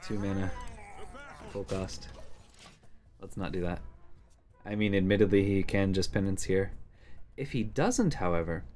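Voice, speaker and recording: A man giving casual strategy commentary on a card game, thinking out loud in musing asides. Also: low-pitched, a little slow, pauses often. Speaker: game streamer. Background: game music.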